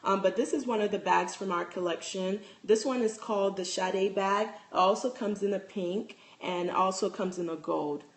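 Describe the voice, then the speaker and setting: A woman's voice: mid-range voice, normal speed, hardly any pauses. A young woman with a Nigerian accent, a handbag designer chatting casually on a phone livestream, restarting sentences and reading out viewer comments.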